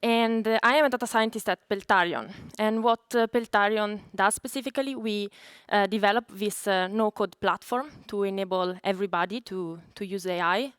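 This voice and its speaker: A woman from Italy with an Italian accent, a native Italian speaker, giving a conference presentation as a data scientist in a halting, explanatory way. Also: medium pitch, smooth, no echo, clean studio sound.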